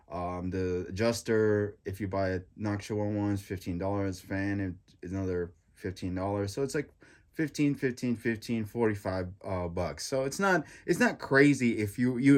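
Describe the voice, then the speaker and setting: Man, stuffy nose, casual tech reaction, rambling with fillers, lowish voice. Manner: rambling, with many fillers and restarts. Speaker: tech reviewer.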